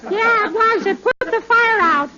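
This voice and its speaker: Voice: extremely high pitch, measured pace, a loud crowd. An actor voicing a mischievous little boy who says alarming things with blunt innocence, in 1940s radio comedy. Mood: innocently naughty. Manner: blunt, innocent-sounding delivery of alarming remarks. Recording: noisy, muffled 1940s radio recording.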